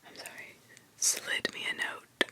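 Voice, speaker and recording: A woman whispering spooky stories ASMR-style, pausing to react with disbelief and the odd swear. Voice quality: whispered throughout. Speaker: ASMR storyteller reading scary stories.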